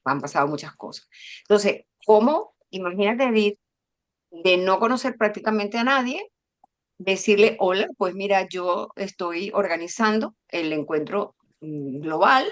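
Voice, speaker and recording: A woman born in Venezuela and raised in Madrid, teaching a spiritual workshop over a video call in an expressive, storytelling way. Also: medium pitch, a little slow. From Venezuela and Spain. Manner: expressive, warm storyteller who acts out dialogue. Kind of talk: informal spiritual talk.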